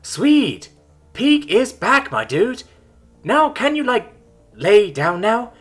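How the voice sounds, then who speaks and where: A guy voicing a chill surfer-bro medical robot for an audio story, high pitched, husky and bright, swooping wildly between notes, easygoing slang in every line. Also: very animated, takes it slowly, faint music behind, heavily acted. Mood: excited. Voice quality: laid-back surfer slang. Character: cheerful bro-talking robot male nurse android.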